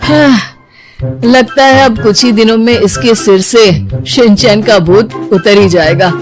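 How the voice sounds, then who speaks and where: Man acting a high-voiced, exasperated young husband. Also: takes it slowly, over music. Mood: perplexed, hopeful. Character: baffled, exasperated young husband in a family cartoon. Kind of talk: cartoon story voice acting.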